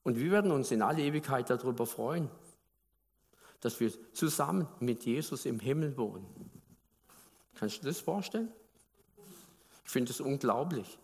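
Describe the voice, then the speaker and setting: Preacher, male, from Baden, mid pitch, chatty sermon delivery, long reverb of a church hall. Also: lively intonation, moderate pace, gravelly, long pauses, darkish tone. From Baden, Germany. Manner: conversational, asks the congregation questions.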